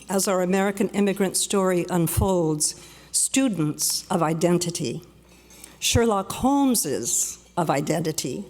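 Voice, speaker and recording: A woman born in Peru giving a measured, reflective prepared speech at a luncheon, slowing down for emphasis. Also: mid-range voice, darkish tone. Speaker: guest speaker. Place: banquet hall. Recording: long reverb of a large hall.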